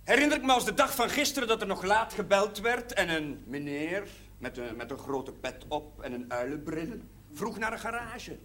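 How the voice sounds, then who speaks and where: A jovial middle-aged man making a celebratory speech in a television drama. His voice is high, and he tells anecdotes with rhetorical swells. The old soundtrack carries faint noise. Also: big pitch swings, normal speed, sharp tone, exaggerated voice. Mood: wry, nostalgic.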